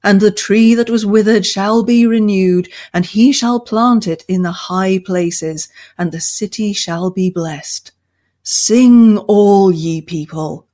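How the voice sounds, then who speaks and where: A great eagle herald from a fantasy storybook, read by a woman. The proclamation is slow, exultant and solemn, lifted a little above plain speech. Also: medium pitch, mellow, close to an everyday voice. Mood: solemn joy. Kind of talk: dramatic reading of a fantasy novel.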